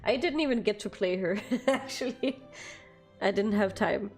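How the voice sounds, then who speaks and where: A woman's voice: medium pitch, moderate pace, darkish tone, soft background music. A young woman from Denmark with a light Danish accent, chatting casually with viewers on a live stream and laughing often between phrases.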